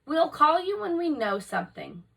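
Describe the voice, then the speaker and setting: A pompous storybook expert, acted by a woman reader who talks with baffled authority. The voice is bright and creaky, self-important in delivery, recorded a little off the mic. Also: sits high, sing-song, normal speed, far from the mic, voice pushed up, exaggerated voice. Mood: evasive. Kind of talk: children's storybook character voices.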